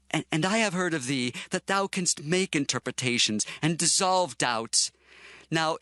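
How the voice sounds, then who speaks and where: A man's voice: fairly high pitch, pushed and bright, dry recording, clean studio sound. A man playing a proud king who speaks formal archaic English with urgency in a dramatized scripture reading. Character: proud king questioning and promising rewards to a captive seer.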